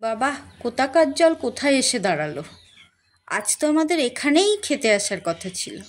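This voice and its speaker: Woman playing high-voiced village mother, reverberant. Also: very animated, moderate pace. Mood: questioning, concerned. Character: practical young village mother and wife, caring, fretful. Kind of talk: cartoon dialogue. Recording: long reverb on voice.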